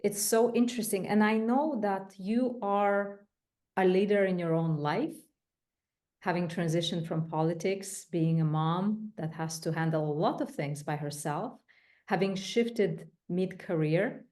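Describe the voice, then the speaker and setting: A woman hosting a podcast interview, talking deliberately and praising her guest warmly. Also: mid-range voice. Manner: slow, deliberate, effusive praise. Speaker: podcast host and co-founder.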